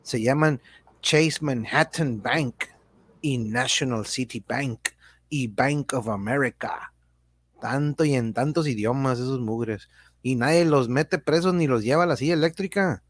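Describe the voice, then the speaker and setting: A man's voice: medium pitch, unhurried, no echo, natural voice. Man playing an indignant, folksy explainer, close dry sound. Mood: indignant, sarcastic. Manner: rhetorical, emphatic, tag questions. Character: indignant, folksy man explaining how foreign banks exploit people. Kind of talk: amateur radio drama reading.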